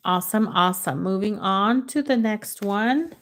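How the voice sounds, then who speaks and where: A woman's voice: medium pitch, expressive, a bit bright, slight background noise. A woman from the United States hosting a livestream word-guessing game, reading out letters and chatting with viewers, sometimes in Spanish. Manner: slow, drawn-out letter reading, switches into Spanish. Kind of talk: casual live chat with viewers.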